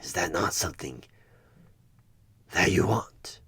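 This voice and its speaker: Sinister devilish interviewer, horror ASMR, slow persuasive delivery, raspy breathy half-whisper, forceful edge, menacing. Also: male voice, low-pitched, animated, heavily acted. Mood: coaxing, insistent. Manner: slow, deliberate, persuasive, repeating words for emphasis. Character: sinister evil tempter offering devilish job bargains, coaxing and menacing. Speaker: job interviewer. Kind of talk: horror ASMR one-sided roleplay.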